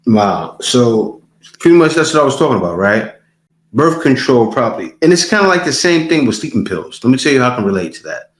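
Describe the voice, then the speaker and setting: A man comments on clips for his channel, sounding incredulous and repeating his key words for emphasis. His voice sits at an ordinary middle pitch. It rings a little in an echoey room. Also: sing-song, brisk. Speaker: reaction video host.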